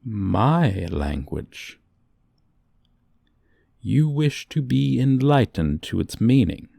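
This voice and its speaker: A man's voice: medium pitch, very animated, drawn-out words, vocal fry, warm tone, no room sound, clean studio sound, full character voice. A man voicing a haughty dragon professor in an ASMR roleplay, condescending to a human student in pompous, archaic, formal diction. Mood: lofty, disdainful.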